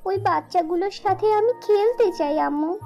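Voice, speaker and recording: Tiny eager fairy girl in a kids cartoon, super high voice, soft music behind. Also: moderate pace, creaky. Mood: pleading. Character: little flower fairy daughter, girlish, eager and pleading. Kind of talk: children's fairy-tale cartoon dialogue.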